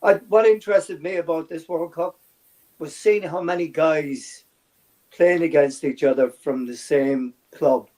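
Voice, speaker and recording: Male sports panellist, mellow and a little high in pitch, casual chat. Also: steady tone, measured pace, rough recording.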